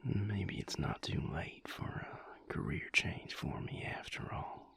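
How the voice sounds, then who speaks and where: A man playing an affectionate, teasing vampire lover in an intimate audio roleplay, speaking softly and unhurriedly in a whispery, gravelly voice. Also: sits low, no room sound, full character voice. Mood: amused, teasing. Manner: unhurried, soft, drily teasing.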